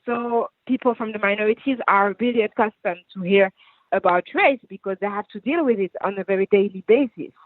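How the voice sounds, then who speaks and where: A woman's voice: medium pitch, a little fast, dry recording. French-accented woman on a tinny phone line. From France. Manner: earnest, explanatory, rephrases as she goes. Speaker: guest writer and activist. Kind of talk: radio interview answers.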